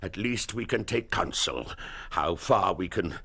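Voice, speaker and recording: An actor playing an anguished, proud middle-aged duke confessing shameful secrets, with formal, grave, pleading delivery in a period detective drama. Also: male voice, low voice, expressive, a little slow, vocal fry, sharp tone, husky, exaggerated voice. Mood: pleading, distressed.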